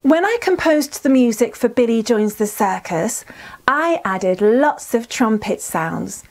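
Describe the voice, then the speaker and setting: A woman presenter for young children with a high voice. She speaks slowly and very expressively, encouraging her listeners in a scripted storytime style. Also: lively intonation. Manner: enunciated, encouraging, asks listeners questions.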